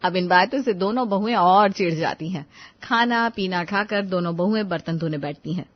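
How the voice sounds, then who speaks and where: A woman's voice: on the low side, moderate pace, no room sound, lo-fi sound. A woman narrating a scripted moral family story as a storyteller.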